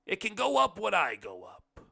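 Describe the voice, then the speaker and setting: A man voicing a hearty, folksy blind man in a story, with a big, loud voice and drawn-out words. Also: wildly swooping pitch, creaky, full character voice. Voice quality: big, booming voice.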